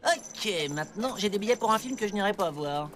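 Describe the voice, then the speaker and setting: A woman voicing an anxious, excitable young boy who loves superheroes in a French cartoon. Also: medium pitch, faint music behind, close to an everyday voice. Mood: sly. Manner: exclamatory, pleading, swinging quickly in emotion. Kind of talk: French animated series dubbing.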